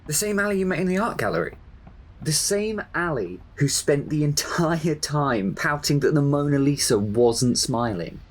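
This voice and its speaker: A man's voice: on the high side, big pitch swings, moderate pace. A man playing a concerned, candid friend and coworker in an audio drama. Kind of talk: audio horror drama acting.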